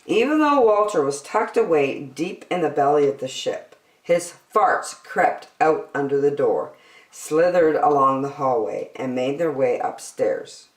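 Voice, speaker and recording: A woman reading a children's picture book aloud as a story-time host, with an expressive, dramatic delivery. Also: deep voice, measured pace. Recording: echoey room.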